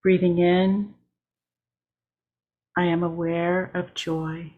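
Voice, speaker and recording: Slow, even-toned woman leading meditation. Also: lowish voice, phone-quality sound. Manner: calm, measured, with short deliberate phrases. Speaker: worship leader. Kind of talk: guided meditation.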